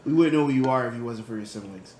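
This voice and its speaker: A man co-hosting a podcast in casual chat, animated and quick to laugh, his voice rising when he teases. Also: mid-range voice, background noise. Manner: animated, laughs often, raises voice when teasing. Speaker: podcast co-host. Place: studio.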